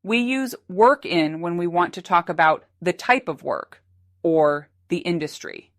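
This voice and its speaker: A woman narrating a scripted English lesson as the teacher, speaking slowly and carefully with clear, deliberate articulation. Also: fairly low pitch, expressive, no echo, studio quality.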